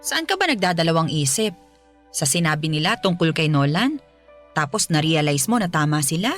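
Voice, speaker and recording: A woman's voice: low voice, big pitch swings, normal speed, fairly bright tone, soft background music. An actress playing a caring, perceptive middle-aged mother in a radio drama, coaxing and firmly reassuring. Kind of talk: Filipino radio drama acting.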